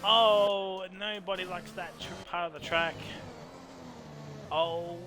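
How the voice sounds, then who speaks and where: A man commentating a live online racing league, reacting with quick exclamations and chatty asides. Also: high-pitched, fairly even intonation. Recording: race game engine noise behind voice.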